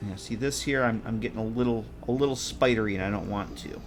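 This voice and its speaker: A man's voice: on the low side, fast, music in the background. A tired, congested man streaming live, casually thinking aloud as he works and correcting himself mid-sentence. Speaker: modding streamer. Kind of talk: casual live-stream commentary while working.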